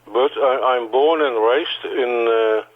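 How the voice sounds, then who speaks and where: A man in his sixties from the Netherlands with a Dutch accent, a native Dutch speaker, answering interview questions in a halting way, repeating words and restarting often. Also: mid-range voice. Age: 60s. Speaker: interview guest. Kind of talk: phone interview. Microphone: telephone. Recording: tinny phone line.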